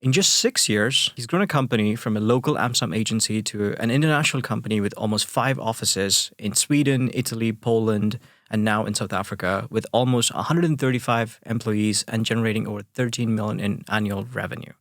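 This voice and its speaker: Male podcast host reading the intro, then asking questions, lowish voice. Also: a little fast, few pauses, no echo, studio quality. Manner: reads guest intro, short backchannels. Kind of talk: interviewer, scripted intro.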